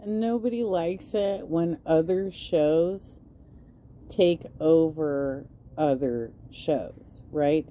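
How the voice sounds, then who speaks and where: A woman's voice: sits low, extremely slow, warm tone, a little hiss or hum. A woman giving reaction commentary over stream clips, blunt, sarcastic and profane.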